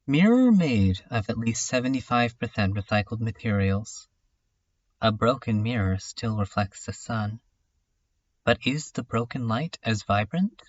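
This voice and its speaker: A man playing a brooding, self-critical dreamer in a contemplative, halting monologue for a webcomic fan dub. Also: on the low side, animated, muffled recording, faint music behind. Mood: pensive, wondering.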